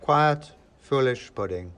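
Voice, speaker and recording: A man voicing a commanding, scornful young woman, imperious and deliberate, in a storybook reading. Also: mid-range voice, sing-song. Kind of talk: storybook character voice.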